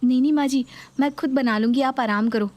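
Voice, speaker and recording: A woman voicing a gentle, dutiful young daughter-in-law who placates her family in a dramatised story. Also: high-pitched, brisk, pitched above the performer's own voice, close to an everyday voice. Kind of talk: dramatised Hindi story video.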